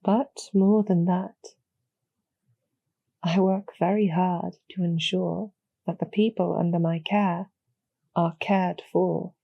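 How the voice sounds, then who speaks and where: Woman voicing a husky, slow-spoken demon general. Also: no echo, dull audio, heavily acted. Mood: earnest, gentle. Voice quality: soft, husky, low with creaky edge. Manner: measured, deliberate, gently formal persuasion. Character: calm, courteous female demon general, protective and persuasive. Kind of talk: slow, soothing scripted roleplay audio for sleep.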